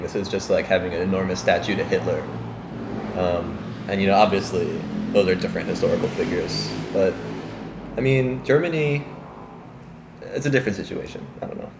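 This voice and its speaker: Deep-voiced young man, hesitant. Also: roomy sound, background noise. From Chicago, Illinois. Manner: hesitant, many fillers. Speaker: interviewee. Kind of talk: casual street interview.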